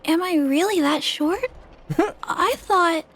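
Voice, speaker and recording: A woman's voice: very high-pitched, slow pace, fairly bright tone, heavily acted. A woman voicing a shy, polite, sweet little girl in a video game, timid and well-mannered.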